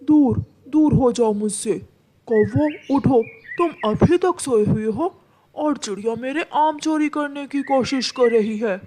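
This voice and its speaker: A woman's voice: high-pitched, measured pace, mellow, a little hiss or hum. A woman voicing an arrogant male mango tree in a cartoon, mocking and gloating. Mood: alarmed.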